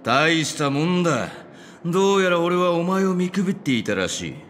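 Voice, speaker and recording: A man voicing a cynical, rough-edged middle-aged security officer in a video game, talking coarsely with a sardonic drawl. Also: a little high, sing-song, normal speed, a bit bright, slight background noise. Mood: mocking amusement. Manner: coarse casual speech, lazy sardonic drawl. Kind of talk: dramatic video game voice acting.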